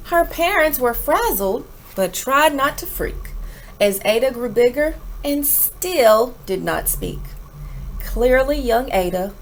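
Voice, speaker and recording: An animated woman reads a picture book aloud for children. Her fairly high voice swings widely in pitch and takes its time, stressing words dramatically. Faint noise sits behind her. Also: poor audio. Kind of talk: expressive children's read-aloud with asides.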